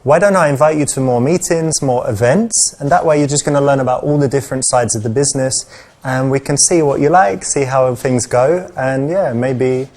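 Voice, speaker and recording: A man's voice: medium pitch, moderate pace, a little mellow, natural voice. A man playing an upbeat office boss with an encouraging, chummy, salesman-like manner in a video game.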